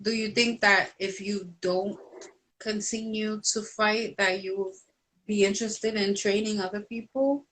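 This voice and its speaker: A woman's voice: medium pitch, fairly even intonation, a little slow, a bit bright, slight background noise, poor audio. A woman hosting a casual interview, putting questions to her guest. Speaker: interviewer, host.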